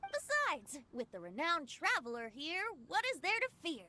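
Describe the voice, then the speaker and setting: A woman's voice: high-pitched, huge pitch swings, normal speed, bright, forceful tone, exaggerated voice. A woman voicing a cheerful, carefree boyish young bard in an anime video game, playful and poetic. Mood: coaxing. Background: game music.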